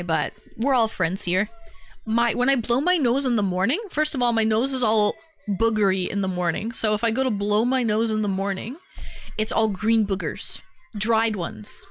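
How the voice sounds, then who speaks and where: A young woman hosts a livestream from home, rambling easily as she answers comments from her chat. Her voice sits a little high and sounds slightly stuffy from a lingering cold. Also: a bit bright. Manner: chatty, rambling, talks straight to chat viewers. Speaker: livestream host. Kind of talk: casual chat reading viewer comments.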